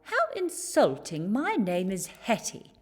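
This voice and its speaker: A woman's voice: mid-range voice, huge pitch swings, slow pace, husky, full character voice. A woman voicing an indignant female mountain monster for a picture book, growling and easily affronted. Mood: furious, insulted.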